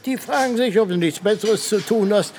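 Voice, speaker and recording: High-pitched grumbling older man. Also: fairly quick, background noise. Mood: grumbling, exasperated. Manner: grumbling, mocking, blunt. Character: grumbling, nagging old friend, mocking and blunt. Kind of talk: radio drama acting.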